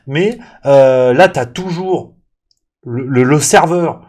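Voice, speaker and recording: Male show host, mid-pitched, comic rant delivery, mock-angry and animated. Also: slow pace. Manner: parodies waiters with exaggerated Italian accent.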